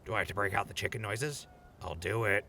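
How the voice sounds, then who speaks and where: A wry, sardonic ghoul played by a man, low in pitch and quick on his feet in speech. He needles like a sensible friend in a post-apocalyptic audio drama, casually and brightly, with faint noise behind. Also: vocal fry. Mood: teasing.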